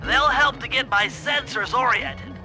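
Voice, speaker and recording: A man's voice: extremely high pitch, measured pace, bright, forceful tone, full character voice. A man voicing a brainy, fussy young turtle gadget expert with precise, bookish speech in a cartoon game. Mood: brisk, instructive. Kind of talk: cartoon video game briefing. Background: music.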